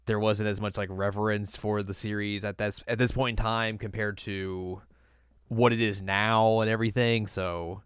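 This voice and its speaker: A man's voice: fairly low pitch, flat delivery, moderate pace, smooth, no room sound. A man co-hosting a podcast, chatting casually and informally about films with some dry humor. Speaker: podcast co-host. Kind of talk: casual film review chat.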